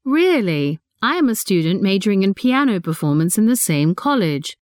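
A woman reading a scripted dialogue clearly and deliberately, as in a listening test. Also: medium pitch, big pitch swings, no room sound, studio quality.

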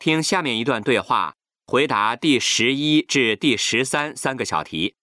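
A man reading formal test instructions in an even, announcing manner. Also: medium pitch, normal speed, no room sound, studio quality. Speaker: exam announcer.

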